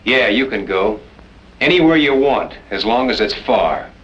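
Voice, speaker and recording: An actor playing a stern, exasperated cattle boss in a western film, giving curt, gruff orders. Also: male voice, mid-range voice, lively intonation, a little slow, a bit bright, dull audio. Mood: dismissive. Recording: noisy old film soundtrack.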